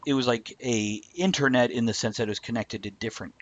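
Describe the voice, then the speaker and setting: A man's voice: medium pitch, fast, a bit bright. A man hosting a casual, conversational live-streamed talk show.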